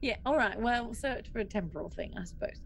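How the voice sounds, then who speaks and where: A woman's voice: a little high, lively intonation, a little fast, creaky, slight background noise. A woman playing a tabletop role-playing game, chatting casually and thinking aloud in a hesitant way. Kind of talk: casual, improvised game chat.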